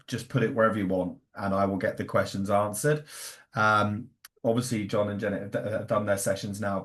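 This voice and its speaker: A man hosting an online webinar informally, his voice affected by a cold. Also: fairly low pitch. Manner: throat-clearing, apologetic. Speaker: webinar host.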